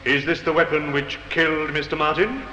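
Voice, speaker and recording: An actor playing a stern, accusing courtroom prosecutor, insistent and rhetorical, in a 1950s radio drama. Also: male voice, a little high, brisk, sharp tone. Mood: stern, probing. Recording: muffled, noisy, echoey old radio recording.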